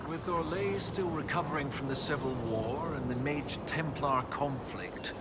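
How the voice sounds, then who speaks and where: Excitable male scholar played by a male actor, highish voice, very lively, game role over loud outdoor ambience. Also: measured pace, heavy background noise, lightly acted. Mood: measured. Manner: scholarly, animated, precise wording. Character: excitable, bookish male scholar and historian, eager about discoveries. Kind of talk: video game dialogue acting.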